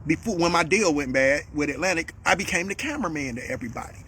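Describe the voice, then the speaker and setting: Animated high-voiced man ranting. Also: fast, a little hiss or hum. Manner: animated, repetitive, swings to shouting. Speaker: vlogger calling out a rival. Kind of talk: heated monologue.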